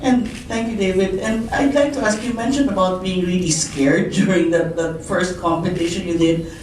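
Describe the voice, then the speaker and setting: Polite female reporter at a press event; heard from afar in a booming room. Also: steady tone, normal speed, darkish tone. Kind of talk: press conference questions. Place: press conference hall. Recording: very echoey distant room, faint noise.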